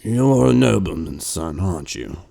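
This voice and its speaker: A man playing a stern old hermit in a radio drama, speaking bluntly in a low, creaky voice. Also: expressive, moderate pace, dark tone, a little hiss or hum, exaggerated voice. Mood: questioning, stern. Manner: blunt, scolding.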